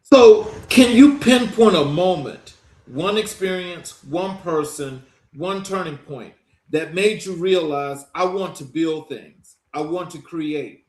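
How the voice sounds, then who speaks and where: High-voiced male host in an echoey room. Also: slow pace, a little mellow. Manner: dramatic, emphatic intro delivery. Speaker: show host. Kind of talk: interview show hosting.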